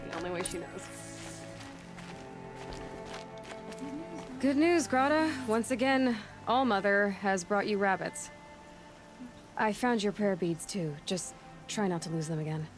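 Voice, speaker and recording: A woman's voice: medium pitch, moderate pace, lightly acted. A woman playing a young outcast huntress in a video game, natural and reflective, with dry sarcasm. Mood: wry. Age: young adult. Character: young outcast huntress, resilient, wry, lonely. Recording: loud game music behind. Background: game music.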